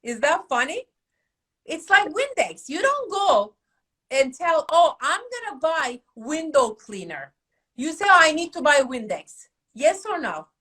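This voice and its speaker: A middle-aged woman from Turkey with a Turkish accent, hosting a casual podcast chat in an animated, emphatic way. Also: high voice, a little slow. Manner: animated, emphatic, asks rhetorical questions. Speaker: academy host.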